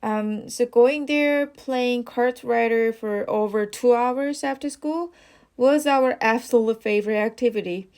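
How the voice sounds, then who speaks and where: Slow, measured young woman. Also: a little high, darkish tone, smooth. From South Korea. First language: Korean. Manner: reads each question, then answers with rehearsed, casual fillers. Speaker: IELTS practice test speaker. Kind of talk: model answers for a speaking exam.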